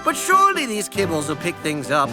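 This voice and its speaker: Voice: medium pitch, huge pitch swings, brisk. An actor playing a smug comic narrator, delivering scripted parody narration in a rambling, mock-grandiose way. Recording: music behind the voice.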